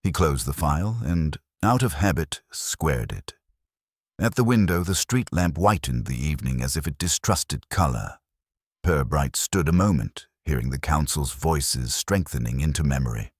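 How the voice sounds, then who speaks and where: A man narrating a literary mystery story in a measured, formal, wry manner. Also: very deep voice, animated, slightly rough, dry recording, clean studio sound. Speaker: audiobook narrator.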